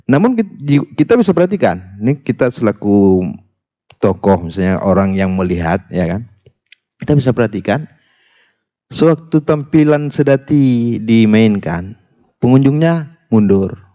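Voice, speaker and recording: A man from Aceh speaking as a guest on a radio discussion, animated, with many fillers and restarts and emphatic rises. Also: lowish voice, moderate pace, pauses often. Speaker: talk show guest. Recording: dull lo-fi radio broadcast sound.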